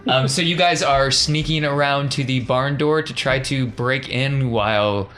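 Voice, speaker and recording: A man narrates and referees a tabletop game as game master. His voice is bright and sits at an average male pitch. He sounds casual and encouraging, with faint music behind him. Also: unhurried.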